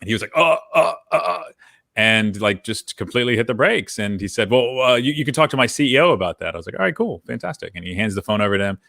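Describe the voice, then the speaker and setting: A man's voice: mid-range voice, animated, quick pace, creaky, a bit bright, no room sound. An American man in his thirties chatting casually as a podcast host, telling things in an informal, anecdotal way into a close microphone. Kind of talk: casual chat. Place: indoor room with brick wall. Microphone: close desk microphone on a video call.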